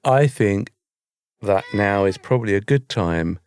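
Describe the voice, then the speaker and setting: A man's voice: lowish voice, expressive, measured pace, a little mellow, clear, resonant tone. A British man co-hosting a podcast, chatting casually in a wry, self-mocking way. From Britain. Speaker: podcast co-host. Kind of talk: casual chat.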